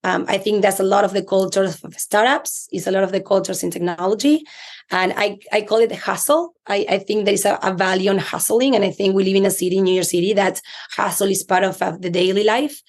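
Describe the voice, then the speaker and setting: A woman's voice: mid-range voice, steady tone, fairly quick. A woman from Argentina with an Argentine Spanish accent, a native Spanish speaker, talking earnestly and reflectively as an interview guest, with frequent restarts. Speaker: chief people officer, guest. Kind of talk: interview conversation.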